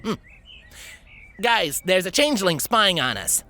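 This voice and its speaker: Woman putting on a brash, bright young pegasus filly. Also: lowish voice, big pitch swings, unhurried, pitched above the performer's own voice, full character voice. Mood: suspicious. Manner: blunt, dismissive.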